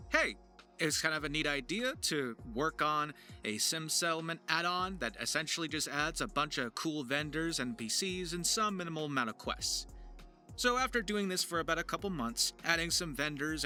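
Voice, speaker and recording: A man's voice: on the high side, sharp tone. A man narrating a video to his viewers as a voice actor and writer, in a chatty, emphatic, informal way.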